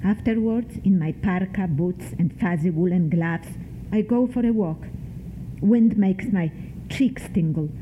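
An older woman from Poland, reading her own memoir in a measured, deliberate way, lingering on single words. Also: mid-range voice. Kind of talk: slow, measured literary reading. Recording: tinny phone-like sound, faint hiss.

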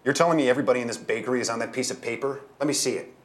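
A man's voice: mid-range voice, racing, pitched above the performer's own voice, natural voice. A man voicing a hot-tempered, sarcastic man in disguise in a storybook, with a pushy, indignant, griping manner.

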